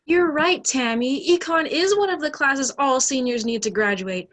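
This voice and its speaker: A young woman in an amateur stage play, acting a bossy high school bully with exaggerated mock sympathy. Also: moderate pace, a bit bright. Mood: gloating. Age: young adult. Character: snide, bossy high school mean girl.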